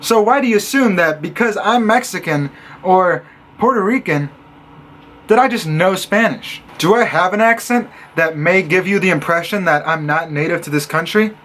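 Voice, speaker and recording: A young man from Illinois talks to the camera in a casual vlog. His voice sits high for a man. He tells his stories with animated sarcasm and acts out what other people said. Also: normal speed. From Illinois, USA. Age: young adult. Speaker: college student vlogger.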